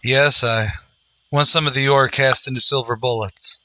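A man's voice: mid-range voice, steady tone, normal speed, bright, forceful tone, no echo. A man playing an earnest, resolute lawman hero in an old-time western radio drama, speaking in solemn declarations.

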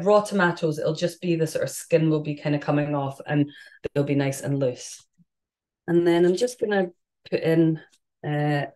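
Low-voiced chatty London woman cooking. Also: darkish tone. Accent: London English. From England. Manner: chatty, rambling, many fillers and restarts. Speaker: vegan cook and host. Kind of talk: casual live cooking demo. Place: home kitchen, indoors. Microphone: camera on the counter, a few feet away.

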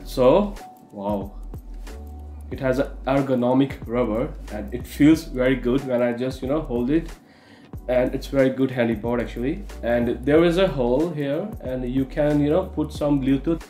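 A man's voice: mid-range voice, normal speed, warm tone, roomy sound. A young man with an Indian accent chats through a gadget unboxing in his English. He leans on fillers and restarts as he goes. Faint background music plays under his voice in the small studio room. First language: not English. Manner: chatty, many fillers like "you know". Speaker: tech reviewer. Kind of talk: casual unboxing review. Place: indoor studio room with curtains.

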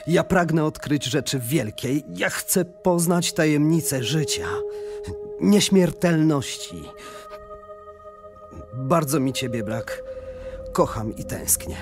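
A man's voice: on the high side, lively intonation, slow pace, long pauses. An actor playing an obsessed young male science student in a radio drama. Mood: restless, yearning. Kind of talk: radio drama acting, reading letters. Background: music.

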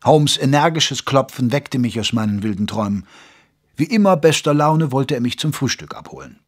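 A man playing a gentlemanly English doctor who narrates his memoir in a measured, literary way in an audio drama. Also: mid-range voice, animated, lightly acted. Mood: composed narration.

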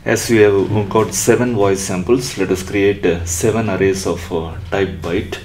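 A man narrating a coding tutorial step by step, unscripted, in a halting way. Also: on the low side, steady tone, a little mellow. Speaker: electronics tutorial presenter. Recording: roomy echo with faint background noise.

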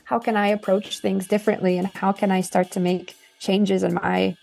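Woman podcast co-host, gravelly voice, excited casual chat, faint music behind. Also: medium pitch, moderate pace. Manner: enthusiastic, chatty with filler words.

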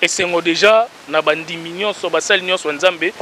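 Bright high-voiced energetic host. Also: male voice, fairly quick, slight background noise. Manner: energetic, emphatic, rattles off shout-outs. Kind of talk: talk show chat. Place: outdoor garden by a wall. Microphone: handheld mic.

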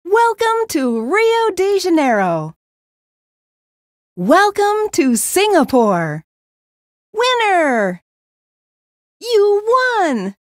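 A friendly female game menu voice, high-pitched and lively. She delivers prompts in a measured way, recorded dry in a booth. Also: dry recording, exaggerated voice.

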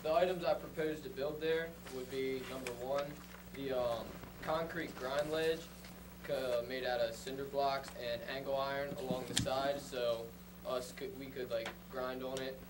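A man's voice: medium pitch, fairly even intonation, moderate pace. A young man giving an informal public presentation, speaking casually and a little hesitantly in a meeting room. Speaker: resident presenting a proposal. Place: council meeting room, far camera. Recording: distant room mic, loud background noise.

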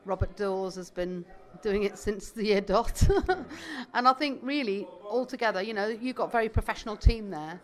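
Chatty woman, rambling, mid-pitched. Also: moderate pace. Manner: chatty, rambling, laughs at herself. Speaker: musician interviewed. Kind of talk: casual interview chat.

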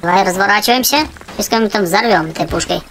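A woman hosting a gaming channel for children, giving chatty, excitable running commentary on her play. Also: mid-range voice, very fast, a little hiss or hum. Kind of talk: casual game commentary for children. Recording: game music behind the voice. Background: video game music.

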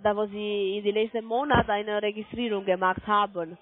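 A woman with a foreign accent in German on a sales call, halting and insistent with her questions. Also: mid-range voice, little pitch movement. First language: not German. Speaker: call center sales agent. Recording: narrow-band phone line.

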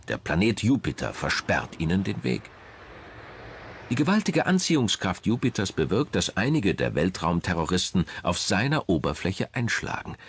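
A man narrating a scripted documentary in a measured, dramatic storytelling manner. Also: low-pitched, slight background noise.

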